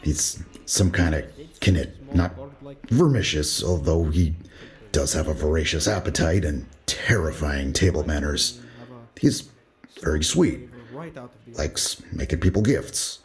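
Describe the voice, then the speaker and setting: A man voicing a calm, direct ship lieutenant in a tabletop game. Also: a bass voice, unhurried, exaggerated voice. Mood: steady. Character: steady, trusting male ship lieutenant.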